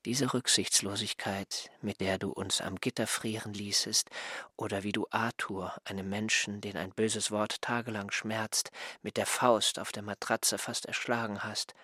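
A man acting an aggrieved, smug former servant in a radio drama, reproachful and pedantic, with a husky, breathy voice. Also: lowish voice, flat delivery, unhurried, sharp tone, no echo, clean studio sound, exaggerated voice. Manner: reproachful, self-righteous, pedantic.

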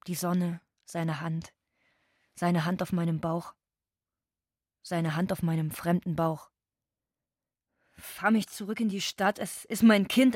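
An actress playing a guarded, bitter migrant woman with a husky voice, speaking in short insistent phrases in a monologue. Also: mid-range voice, no echo, studio quality. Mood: tender, subdued, then defiant. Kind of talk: stage-like monologue in audio drama.

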